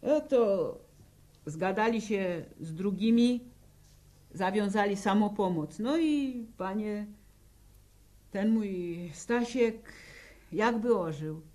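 An actress playing a weary peasant widow with a rural Polish accent, telling her story haltingly in a 1960s radio drama. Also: medium pitch, warm tone, natural voice. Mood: wistful recollection. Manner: slow, halting storytelling in plain folk speech. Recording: old 1960 radio drama recording.

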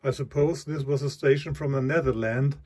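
A man giving a halting, explanatory hands-on commentary as a radio hobbyist demonstrating a receiver. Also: mid-range voice, fairly even intonation, darkish tone. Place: indoors beside a shortwave radio. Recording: clear radio static and hiss behind.

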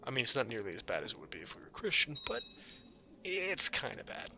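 A man's voice: mid-range voice, wildly swooping pitch, fast, gravelly. A man giving casual gameplay commentary in a dry, offhand way, musing aloud in a slightly scratchy voice. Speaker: gaming video host. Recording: music under the voice.

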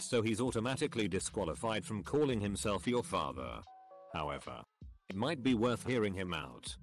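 A man reading a series of online forum comments aloud in a steady, even delivery. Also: lowish voice.

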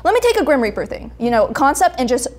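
A young woman chatting casually as an interview guest, animated and acting out conversations as she tells stories. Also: high voice, fast. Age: young adult. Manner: animated storytelling, acting out reported dialogue. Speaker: comic creator, interview guest. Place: indoor brick-walled studio room.

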